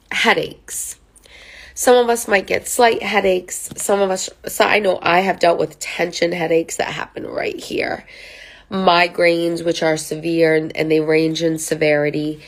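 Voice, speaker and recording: A woman's voice: on the low side, creaky. A woman who counsels on mental health, talking casually to camera from notes. She sounds nasal from a sinus infection and often checks in with "right?" and "okay?". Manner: conversational, many fillers and tag questions. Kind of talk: informal talk to camera from notes.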